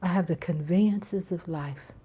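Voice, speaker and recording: A woman's voice: lowish voice, normal speed, breathy edge, highs cut off. An American woman hosting a casual live-stream chat from home, talking in an earnest, rambling way with frequent restarts. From United States. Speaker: channel host.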